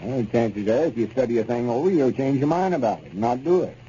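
Guy acting a pompous impatient arkansas storekeeper, mellow folksy voice, muffled old time radio. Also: mid-range voice, expressive, a little fast, natural voice. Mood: patient, lecturing. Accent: rural Arkansas. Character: self-important, impatient male country storekeeper, bossy know-it-all. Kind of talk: 1930s radio comedy acting. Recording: muffled, noisy 1930s radio recording.

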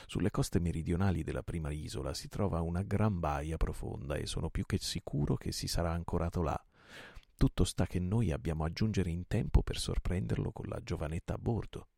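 A man with a gravelly voice voicing a passionate male pirate chief in an audiobook, impassioned and commanding. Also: low-pitched, a little fast, dry recording, studio quality. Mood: resolute. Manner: impassioned, shouting orders.

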